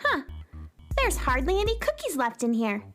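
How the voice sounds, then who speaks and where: A woman's voice: high voice, huge pitch swings, normal speed, music in the background. A woman acting a perky, good-hearted little girl who talks earnestly and chattily in a children's radio drama. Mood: chatty, restless.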